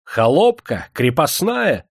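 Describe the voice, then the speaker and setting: A man's voice: on the high side, very animated, slow pace, gravelly, slightly rough, no room sound, clean studio sound, pitched above the performer's own voice, full character voice. A man voicing a shabby, haughty nobleman from an old historical novel, with a bullying, indignant manner. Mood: angry bullying. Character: shabby, haughty, shrill hereditary nobleman, fawning then furious.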